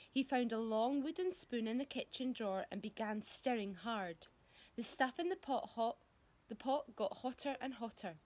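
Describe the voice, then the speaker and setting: A woman reading a story to schoolchildren expressively, giving descriptive words dramatic, deliberate emphasis. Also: on the high side.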